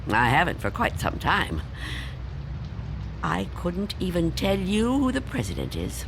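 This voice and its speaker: An actress playing an anxious, secretive, reclusive spinster in a radio drama, halting and fretful. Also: female voice, lowish voice, wildly swooping pitch, gravelly, noisy background, full character voice. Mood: defensive, wistful.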